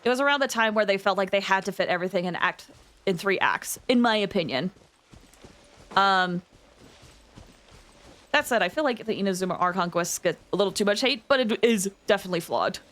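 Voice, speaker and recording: A woman hosting a livestream, chatting casually with viewers in an expressive, emphatic way. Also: medium pitch, brisk, long pauses. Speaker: livestream host.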